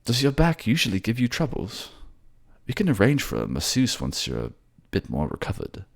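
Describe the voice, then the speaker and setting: A man's voice: lowish voice, huge pitch swings, normal speed, vocal fry, pitched above the performer's own voice, heavily acted. A man voicing an empathetic, teary merman advisor who is tender, earnest and fussy, in a fantasy audio drama. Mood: concerned.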